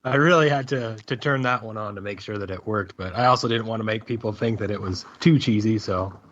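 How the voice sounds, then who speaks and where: A man's voice: fairly low pitch, brisk, a bit bright, dull audio. A man answering casually as a voice actor guest in an interview.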